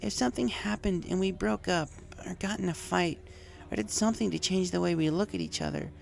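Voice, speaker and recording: A man voicing a brash, emotionally torn young female cartoon pony with a strained high voice in a storybook reading. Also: very animated, brisk, vocal fry, exaggerated voice. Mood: earnest, torn.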